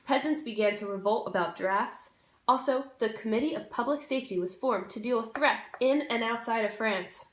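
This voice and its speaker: A young woman with a moderately high voice gives a casual, jokey student history presentation. Her delivery is scripted but informal, and the room adds a pronounced echo. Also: normal speed. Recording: roomy, echoey room sound.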